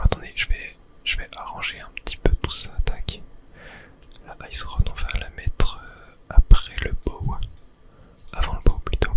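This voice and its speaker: A person softly whispering a running ASMR commentary over a video game, in short hushed phrases. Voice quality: soft unvoiced whisper. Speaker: gaming ASMR streamer.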